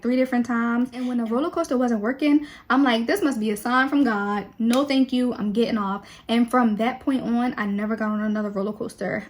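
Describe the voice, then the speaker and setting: Chatty young woman, relaxed and easygoing. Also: fairly high pitch. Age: 20s. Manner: chatty and relaxed, with many fillers. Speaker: beauty vlogger. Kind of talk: casual chat while doing makeup.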